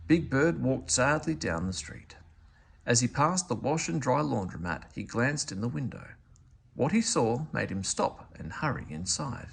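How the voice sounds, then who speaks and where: A man reading a children's storybook aloud as an expressive narrator. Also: medium pitch, unhurried, creaky, breathy edge. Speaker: storybook reader.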